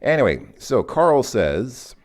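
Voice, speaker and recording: A man's voice: mid-range voice, very animated, slow. A man teaching a casual lesson, thinking aloud with many fillers and corrections. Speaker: language teacher. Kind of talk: casual recorded lesson explanation.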